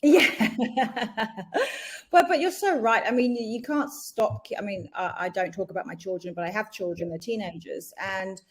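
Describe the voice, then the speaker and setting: A woman's voice: mid-range voice, normal speed. Frank woman in her forties. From England. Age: 40s. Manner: frank and reflective, with hesitant fillers. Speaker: podcast guest. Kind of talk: candid conversational interview.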